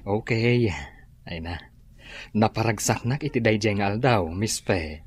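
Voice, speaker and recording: Deep, husky, affectionate father. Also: measured pace. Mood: warm, relaxed. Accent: Ilocano. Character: affectionate, easygoing father and boss. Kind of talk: radio drama acting.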